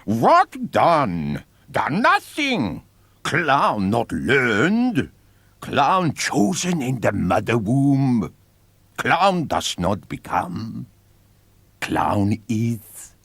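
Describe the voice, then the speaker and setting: A man's voice: mid-range voice, wildly swooping pitch, vocal fry, fairly bright tone, husky, no room sound, pitched above the performer's own voice, full character voice. A man voicing a big, sinister clown in a horror story, speaking in broken, clipped, solemn phrases. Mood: surprised. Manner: broken clipped grammar, slow solemn pronouncements. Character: sinister blue-haired adult male clown, bigger than his fellows.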